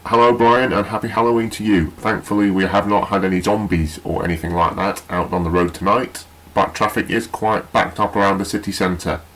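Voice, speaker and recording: A man's voice: deep voice, steady tone, a little fast, few pauses, a bit bright, rough recording. An actor playing a cheerful man giving a radio traffic bulletin over a remote phone link. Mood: breezy. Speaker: traffic reporter.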